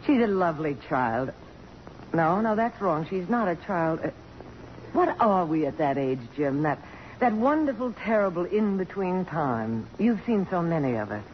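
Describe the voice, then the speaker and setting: A woman's voice: on the low side, very animated, moderate pace. An actress playing a polished, commanding middle-aged woman of accomplishment in 1950s radio drama style. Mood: guarded, nostalgic. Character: poised, strong-willed middle-aged woman, accomplished author and dignitary. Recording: dull, noisy vintage radio recording.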